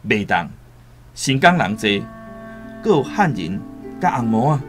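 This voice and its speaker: A Taiwanese-accented actor playing a cautious, worldly returned seafarer in a radio drama, solemn and deliberate. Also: male voice, mid-range voice, very animated. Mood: grave, cautious. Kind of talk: radio drama acting with first-person storytelling. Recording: music behind the voice.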